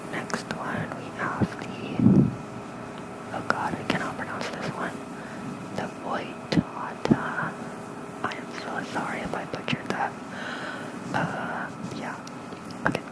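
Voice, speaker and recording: An ASMR host gently whispering mythology lore like a bedtime reading, close to a fluffy-covered microphone. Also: takes it slowly, pauses often, low-quality recording.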